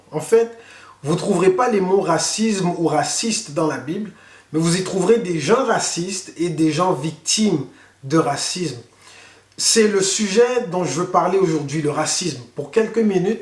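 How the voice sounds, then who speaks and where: Man, pastor, high voice, earnest, measured, echoey room. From Canada. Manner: earnest, measured, slows down on key words. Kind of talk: online sermon talk.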